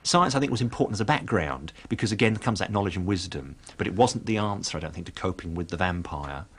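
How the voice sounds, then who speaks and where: Deep-voiced man musing quickly. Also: lively intonation, breathy edge. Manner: thoughtful, hedging with "I think". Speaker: interviewed commentator. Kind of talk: reflective commentary.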